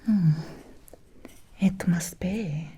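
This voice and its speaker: A woman playing an ancient, possessive female vampire in an ASMR roleplay, speaking in a breathy, seductive whisper with lingering, deliberate pauses. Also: lowish voice, dark tone, heavily acted. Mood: curious, seductive.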